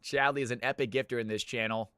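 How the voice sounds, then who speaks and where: A man hosting viewer games on a live stream, chatting casually and thanking viewers, with sudden loud hype-announcer bursts. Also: medium pitch, fairly even intonation, fast, clean tone, no room sound, studio quality. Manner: hype announcer bursts between easygoing chat.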